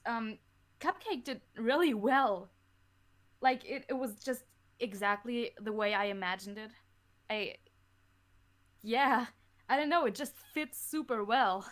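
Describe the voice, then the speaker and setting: Slow, hesitant teenage girl, higher voice. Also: fairly bright tone. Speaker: interview guest, audio drama creator. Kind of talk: casual chat.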